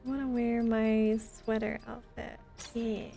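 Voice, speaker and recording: A woman's voice: a little high, level tone, unhurried, vocal fry, dark tone. A woman chatting casually and expressively as she plays a game live, with a playful manner. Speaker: game streamer. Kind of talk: casual live gameplay commentary. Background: game music and sounds.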